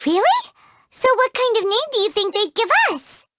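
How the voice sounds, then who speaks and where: Little fairy sidekick, super high and all over the place, whiny and excitable, game voice. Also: female voice, normal speed, dry recording, clean studio sound, full character voice. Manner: refers to herself in third person, excitable and whiny. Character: tiny floating female fairy companion, chirpy and greedy. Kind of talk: game voice acting.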